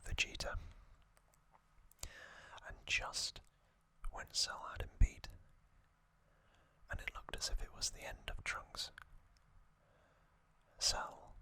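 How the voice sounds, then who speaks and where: Male ASMR host for whispered storytelling: very slow, breathy and crisp, delivered in short hushed phrases.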